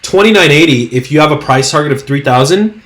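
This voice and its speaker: A young man with a hoarse, worn voice hosting a trading channel, talking through stock charts in a hyped, slangy, rambling way full of fillers. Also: fairly high pitch, lively intonation, fast talker, a bit bright. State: hoarse, losing his voice. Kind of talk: casual screen-share market commentary.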